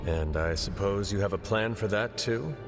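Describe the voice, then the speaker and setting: A stern, demon-blooded hero from a video game , acted by a man, speaks formally in clipped phrases. His voice sits low, with long reverb and faint music behind it. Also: normal speed, close to an everyday voice. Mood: reserved, skeptical. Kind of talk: cinematic video game acting.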